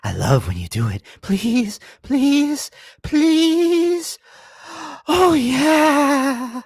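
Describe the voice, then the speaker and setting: AI-converted breathy young woman from a male performer, slow drawn-out pleading, lively high pitch. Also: no echo, clean studio sound, full character voice. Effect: AI voice conversion to a female voice.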